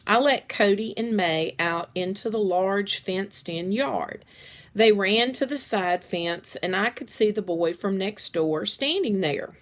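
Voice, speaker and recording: A woman's voice: medium pitch, fairly bright tone. A woman reading a children's story aloud as scripted narration.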